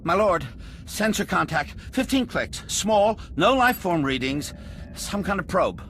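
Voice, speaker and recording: A man's voice: high-pitched, very animated, unhurried, fairly bright tone, slight background noise, exaggerated voice. A man playing an alert, dutiful warship sensor officer in a video game, reporting in clipped military phrases. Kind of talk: video game cutscene acting.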